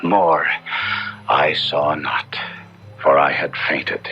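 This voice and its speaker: A man's voice: extremely low pitch, steady tone, drawn-out words, heavily acted. An actor playing a passionate, tormented young nobleman in an old-time radio drama, speaking with theatrical, archaic diction. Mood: fervent, dramatic narration. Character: passionate, tormented young Italian nobleman recounting his horror tale. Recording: muffled 1970s radio drama with music.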